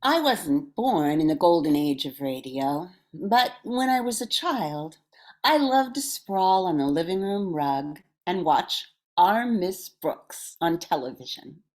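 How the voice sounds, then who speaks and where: Older woman, slow and animated, lower-pitched. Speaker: radio show cast member. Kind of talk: scripted personal reminiscence.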